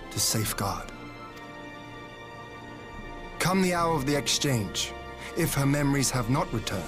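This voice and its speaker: A man voices a noble lord in a fantasy game drama. His medium-pitched voice is stern and solemn, with stately old-fashioned phrasing over soft music. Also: close to an everyday voice. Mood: solemn, judging. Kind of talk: dramatic video game voice acting.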